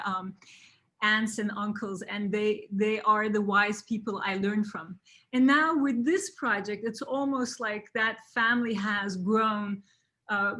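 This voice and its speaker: A woman in her forties from Turkey, a native Turkish speaker, hosting an online talk, unhurried with many fillers and restarts. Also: medium pitch, fairly bright tone. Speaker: host.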